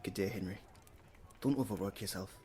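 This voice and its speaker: An actor playing a kindly, concerned Victorian gentleman lawyer, speaking in a measured, earnest way in a stage drama. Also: low voice, noisy background, lightly acted. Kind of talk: period stage drama acting.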